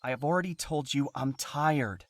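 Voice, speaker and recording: A man's voice: medium pitch, normal speed, no room sound, lightly acted. Curt, dismissive husband, dry sound played by a man. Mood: weary, defensive. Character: weary, dismissive, defensive husband. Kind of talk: dramatic game cutscene acting.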